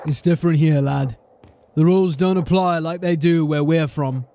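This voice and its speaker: An actor playing a gruff, shrewd city watch sergeant in a fantasy audio drama, probing and matter-of-fact. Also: male voice, on the high side, normal speed, mellow. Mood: steady, instructing.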